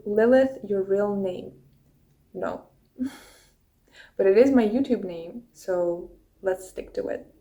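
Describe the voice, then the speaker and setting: A young woman with a Russian accent talks at an unhurried, slow pace, easygoing and conversational. She records straight into her phone, going through viewer questions one by one. Her pitch sits mid-range for a woman. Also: gravelly, dark tone. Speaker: YouTuber. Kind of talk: casual get-to-know-me chat.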